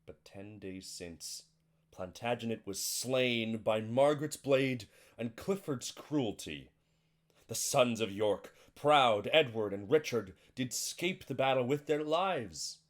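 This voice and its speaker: An actor playing a solemn male chorus and bearer of grievous news, declaiming Shakespearean verse in a measured manner. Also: mid-range voice, lightly acted. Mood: solemn, portentous.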